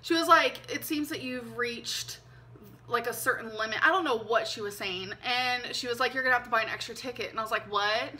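A woman in her twenties telling a personal story to camera from her bedroom, chatty and animated, with lots of ums and likes. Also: a little high, brisk. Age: 20s. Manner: chatty, animated, frequent "um" and "like", hand gestures. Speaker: vlogger. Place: bedroom, indoors. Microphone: camera mic, seated facing camera.

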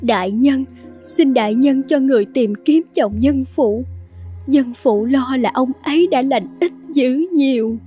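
A woman voicing a worried, humble village wife who pleads respectfully in an animated folk tale. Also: high voice, measured pace, husky, faint music behind. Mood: anxious, pleading.

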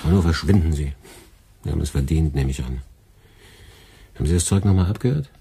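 Casting: middle-aged radio boss, low mellow voice, husky, schoolmasterly irony, radio drama. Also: male voice, heavily acted. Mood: dry, reproving. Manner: ironic, measured, schoolmasterly. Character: dry, sardonic older radio department head. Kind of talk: German radio play acting.

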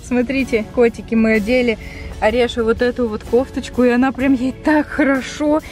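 A young woman talks to her viewers from inside a parked car at night, recorded on a handheld camera. Her voice sits a little high, and she chats fast and lively, cooing at her cat between remarks. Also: soft background music. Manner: lively, chatty, coos at the cat. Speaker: pet vlogger. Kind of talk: casual vlog talk to viewers.

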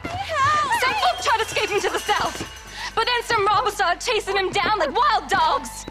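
Indignant frontier woman for a Western game, extremely high, wildly swooping delivery, scolding. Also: very animated, measured pace, over loud music, heavily acted. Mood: indignant, anguished. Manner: scolding, impassioned. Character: bitter, indignant frontier townswoman. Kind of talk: video game voice acting, Western.

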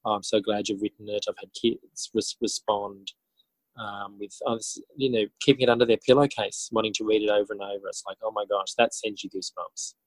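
Low-voiced Australian man, reflective interviewee. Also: steady tone, a little fast, no room sound, low-quality recording. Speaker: interview guest, author and teacher. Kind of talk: conversational interview answers, telling his story.

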